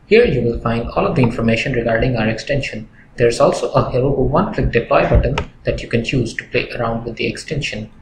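A young man presenting a software tutorial in a scripted narration style. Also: medium pitch, quick pace, few pauses. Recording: roomy echo with background noise, clicks.

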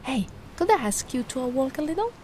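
A woman voicing a giant, sweet and curious young mermaid in an ASMR roleplay, gushing and doting in a gentle, cooing way. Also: high-pitched, sing-song, brisk. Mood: adoring. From Italy. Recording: noticeable ambient background noise.

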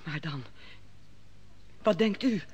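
A frightened king in an old radio play, his voice strikingly high and tight. He presses question after question, uneasy. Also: animated, slow, slightly rough, muffled recording, exaggerated voice. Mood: anxious. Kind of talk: vintage radio drama acting.

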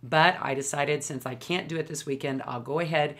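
A woman in her fifties, hoarse from a cold, chatting enthusiastically to camera while reviewing beauty products at home. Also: low voice, a little fast, fairly bright tone. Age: 50s. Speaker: beauty vlogger. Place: home studio, indoors.

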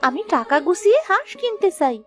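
A woman's voice: extremely high pitch, big pitch swings, brisk, fairly bright tone, soft background music, heavily acted. A woman voicing an earnest, hopeful young village daughter in a Bengali cartoon story, pleading in a childlike way. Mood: determined.

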